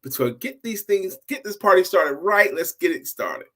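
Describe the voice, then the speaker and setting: A man's voice: sits high, sing-song, moderate pace, a little hiss or hum. A middle-aged man hosting an informal motivational storytime, repeating key phrases for emphasis like a seasoned speaker. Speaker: storytelling host, sales coach and speaker.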